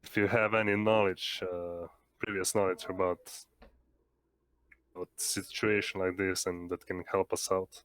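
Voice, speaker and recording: A man with a low, smooth voice asks questions as a roleplaying character. He feels his way through the wording, stopping and restarting. The sound is dry, with no room to it. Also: level tone, fairly bright tone, no echo. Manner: halting, searching for words. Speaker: tabletop roleplaying player.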